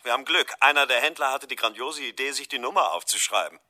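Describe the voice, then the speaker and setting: An actor playing a weary but determined middle-aged detective who speaks in a brisk, matter-of-fact way. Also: male voice, medium pitch, animated, sharp tone.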